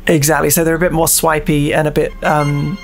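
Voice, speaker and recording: A man's voice: a little high, little pitch movement, a little fast, vocal fry, faint music behind. A man playing a video game, commenting casually and reading notes aloud, often hesitating and repeating himself. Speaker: let's play host and player.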